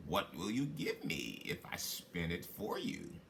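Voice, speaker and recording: Man putting on a sly little storybook imp, swooping pitch. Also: huge pitch swings, a little slow, vocal fry, fairly bright tone, slight background noise, exaggerated voice. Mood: sly bargaining. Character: bargaining little magical man, insistent and sly.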